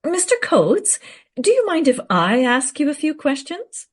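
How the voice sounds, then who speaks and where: A woman playing a brisk female documentary interviewer in a radio play, assertive and smoothly flattering. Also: sits high. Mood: coaxing, flattering.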